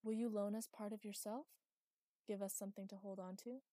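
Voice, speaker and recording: A woman's voice: mid-range voice, steady tone, a little fast, mellow, no room sound, clean studio sound, lightly acted. A woman reading aloud, voicing an earnest, curious woman. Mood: hopeful.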